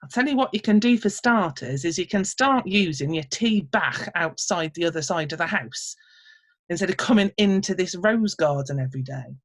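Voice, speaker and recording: A woman voicing a tiny, cross fairy man with a high, sharp, scolding voice. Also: animated, moderate pace. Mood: bossy.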